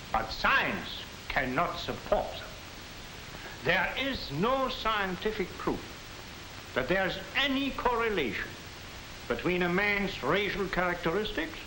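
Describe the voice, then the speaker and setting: Casting: deliberate, didactic professor, higher-set animated voice, defiant dignity, vintage 1940s film sound. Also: male voice, echoey room, noisy background. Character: dignified, defiant German professor lecturing his students. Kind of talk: 1940s film acting, classroom lecture.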